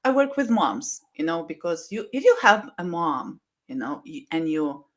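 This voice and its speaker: Lower-voiced woman. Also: very animated, normal speed. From Slovenia. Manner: warm storytelling, many "you know" fillers. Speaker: guest, mindset coach. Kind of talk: casual interview talk.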